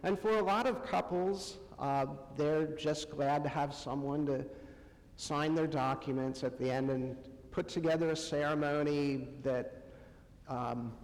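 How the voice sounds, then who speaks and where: Halting male preacher in a reverberant hall. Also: a little high, steady tone, darkish tone. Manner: halting, pausing often between short phrases. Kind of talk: conversational sermon. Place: church sanctuary, amplified to a congregation.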